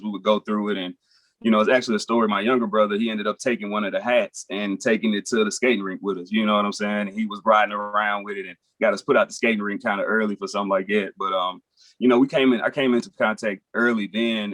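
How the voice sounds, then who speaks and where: A young man from Nashville, a rapper, talking in a relaxed, rambling way full of fillers as a podcast guest. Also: on the low side, no echo. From Nashville, Tennessee. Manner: laid-back, rambling, frequent fillers like "you know" and "um". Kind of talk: casual interview chat.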